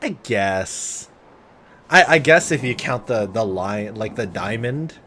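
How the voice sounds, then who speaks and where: A man's voice: on the low side, animated, slow, bright, forceful tone, slight background noise. A man giving casual, joking, sweary live commentary on a game. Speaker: game streamer. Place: live stream with faint game music behind.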